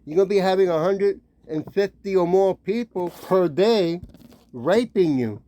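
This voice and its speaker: A man giving an informal, admonishing sermon, talking conversationally with frequent checking tags and pausing to read scripture. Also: sits high, mellow. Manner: conversational, peppered with "right?" and "okay?" tags; admonishing, warning. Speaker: religious street-style preacher and teacher.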